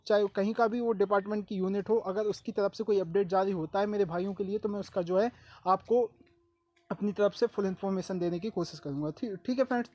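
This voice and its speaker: A man's voice: high voice, darkish tone, dry recording. A man from Madhya Pradesh hosting an informal explainer for students, speaking familiarly with frequent check-in tags. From Madhya Pradesh, India. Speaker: exam-update video host.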